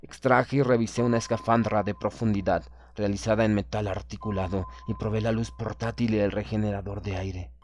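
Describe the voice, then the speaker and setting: A man's voice: on the low side, fairly even intonation, fairly quick, few pauses. Man narrating a solemn literary audiobook. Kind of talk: dramatic literary audiobook narration in first person.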